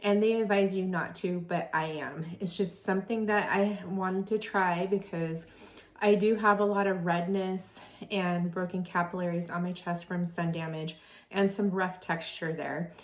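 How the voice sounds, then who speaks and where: A woman's voice: lowish voice, steady tone, measured pace. A woman in her forties, an esthetician, chatting through a skincare device review in an enthusiastic, friendly way. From California. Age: 40s. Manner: chatty, enthusiastic, reads specs aloud. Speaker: esthetician and beauty vlogger. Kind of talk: product review vlog.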